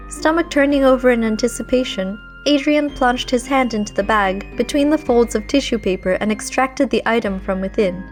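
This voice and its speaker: A woman reading scripted story narration in an expressive, dramatic manner. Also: on the high side. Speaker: fan fiction narrator. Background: music behind the reading.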